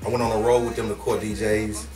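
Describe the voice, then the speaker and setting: A man's voice: fairly low pitch, flat delivery, fast, echoey room, recorded from a distance, over music. A man from Houston, a rapper being interviewed, talking loosely and casually with lots of fillers. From Houston, Texas. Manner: laid-back, slangy, with many fillers. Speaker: guest rapper.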